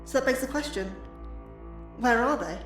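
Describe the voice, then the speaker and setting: An earnest, anxious academic in a scripted audio drama. Her voice is on the high side and proud in manner, with a light music bed. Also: expressive, moderate pace, a bit bright. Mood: measured, guarded. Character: earnest, anxious woman astrobiology lecturer, proud and indignant.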